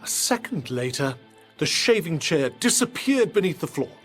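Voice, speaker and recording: Guy playing posh-mannered detective in charge, high lively voice, dramatic period audio drama. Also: moderate pace, faint music behind. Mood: intrigued, dramatic. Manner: authoritative, dramatic. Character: commanding gentleman magistrate detective, assured and precise.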